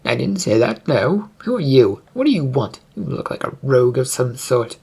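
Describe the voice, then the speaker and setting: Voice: medium pitch, sing-song, normal speed, vocal fry, darkish tone, slightly rough, full character voice. A narrator voicing a haughty, paranoid fantasy prince in an imperious, indignant manner for a story. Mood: suspicious, demanding.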